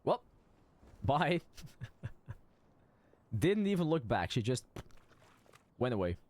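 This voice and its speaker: A man's voice: mid-range voice, very animated, takes it slowly, darkish tone, no echo. Casual, sympathetic man commenting on a game. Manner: informal, sympathetic, musing aloud. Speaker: streamer reacting to a game. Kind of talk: casual live playthrough commentary.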